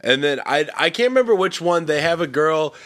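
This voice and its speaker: A man's voice: on the high side, lively intonation, a little fast, fairly bright tone, no room sound, clean studio sound. A young man hosting a podcast, talking in crude, blunt, mocking casual banter. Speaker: podcast host. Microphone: studio podcast mic.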